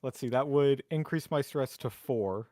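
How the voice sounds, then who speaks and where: A man's voice: mid-range voice, normal speed, mellow, no room sound, studio quality. A man chatting casually as a player in a tabletop roleplaying game, self-deprecating and a bit theatrical.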